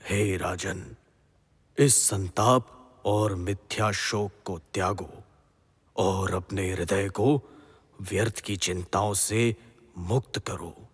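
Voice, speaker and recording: Man acting deep, slow, husky sage. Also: fairly even intonation, full character voice. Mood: solemn, encouraging. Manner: solemn, measured, authoritative blessing. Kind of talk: devotional mythological audio drama acting. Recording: long reverberant hall echo.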